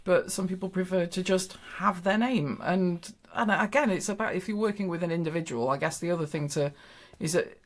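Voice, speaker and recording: A woman's voice: fairly low pitch, fairly quick, few pauses. A woman guest on a casual podcast, giving confident business advice with frequent restarts.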